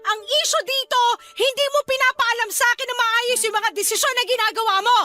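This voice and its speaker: Very high-voiced young Filipino wife. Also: female voice, steady tone, fairly quick, sharp tone. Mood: angry, hurt. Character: young wife and mother, resentful of being left out. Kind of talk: Filipino radio drama acting. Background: music.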